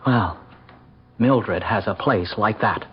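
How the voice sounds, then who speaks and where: An actor playing an anxious, hesitant forty-year-old husband who stammers, in an old-time radio drama. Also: male voice, on the low side, slow. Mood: agitated, confiding. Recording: dull, faintly noisy old radio recording.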